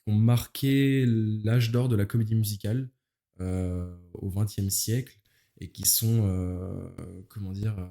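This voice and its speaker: A man of nineteen speaks in a lowish, dark and relaxed voice. He talks casually as a guest, using informal slang and feeling his way through his phrases. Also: a little slow. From Paris, France. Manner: informal, youthful slang, hesitant searching phrasing. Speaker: interview guest, musical theatre performer. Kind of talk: casual interview chat.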